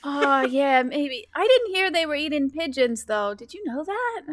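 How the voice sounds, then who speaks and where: A woman's voice: high-pitched, big pitch swings, measured pace, a little hiss or hum. A woman voicing an awkward, laid-back dude who rambles hesitantly in a tabletop horror-comedy game. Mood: awkward, puzzled.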